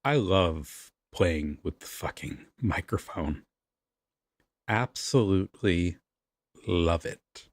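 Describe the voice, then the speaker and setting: Husky, casually swearing man, lively pitch. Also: slow, dry recording, clean studio sound. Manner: profane, self-amused. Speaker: amateur voice actor recording audio roleplay. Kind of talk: casual off-script chat between takes.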